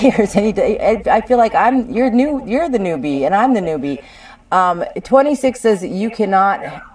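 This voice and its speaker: A woman on a conference call, picked up at some distance from the mic. She is combative and insistent, arguing her own legal case point by point in a contentious discussion. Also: fairly low pitch.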